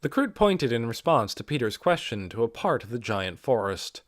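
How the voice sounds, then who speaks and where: A man reading a fantasy novel aloud as scripted audiobook narration, with expressive storytelling delivery. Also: medium pitch, normal speed, dry recording, clean studio sound. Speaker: amateur audiobook reader.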